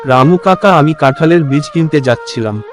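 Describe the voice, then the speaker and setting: Man playing an earnest, higher-voiced village husband. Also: brisk, faint music behind, close to an everyday voice. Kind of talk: cartoon voice acting.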